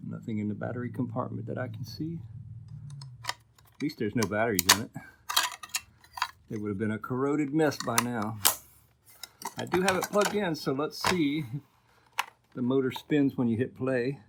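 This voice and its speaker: A man narrates a casual workbench repair vlog in a mid-pitched voice. He speaks informally, thinking aloud as he works. The recording has noticeable noise and clicks behind him. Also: normal speed, creaky, pauses often. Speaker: host of an electronics repair video.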